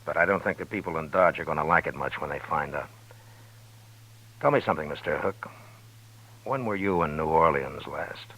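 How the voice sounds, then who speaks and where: An actor playing a steady, firm frontier marshal who speaks in a low, measured, understated way in a 1950s radio drama. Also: male voice, slightly rough, full character voice. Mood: probing. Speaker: lead and narrator. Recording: lo-fi old radio broadcast with music.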